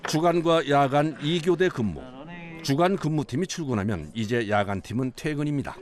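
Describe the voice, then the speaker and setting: A man's voice: a little high, normal speed, a crowd in the background. A man reading scripted documentary narration. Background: background music.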